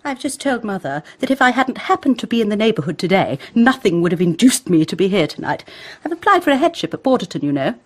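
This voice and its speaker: An actress in a radio play as a sharp, embittered English schoolmistress who lectures disapprovingly in clipped phrases. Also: female voice, medium pitch, sing-song, quick pace. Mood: indignant. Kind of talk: radio drama acting.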